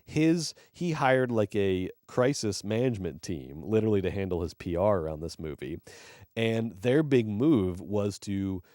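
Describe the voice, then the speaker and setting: A man co-hosting a movie podcast, reviewing films in casual chat, rambling and swearing with animated emphasis. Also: fairly low pitch, measured pace, no echo, studio quality. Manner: rambling and profane. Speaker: podcast co-host.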